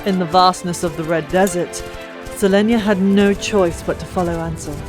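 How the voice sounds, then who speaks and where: A woman's voice: on the low side, fairly even intonation, moderate pace. A woman narrating a fantasy audiobook in a dramatic, theatrical storytelling style. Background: music.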